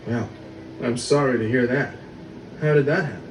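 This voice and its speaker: A man voicing a stern, bitter young lord of Egypt in an animated film, questioning and accusing in a commanding manner. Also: mid-range voice, normal speed, natural voice. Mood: guarded, probing. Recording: roomy, distant, noisy film soundtrack rip.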